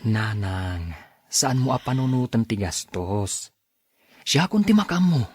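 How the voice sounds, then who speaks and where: A man playing a dutiful, kind-hearted grown son in a radio drama, earnest and coaxing in a husky voice. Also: medium pitch, sing-song, measured pace, no echo, studio quality. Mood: caring, insistent. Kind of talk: Ilocano radio drama acting.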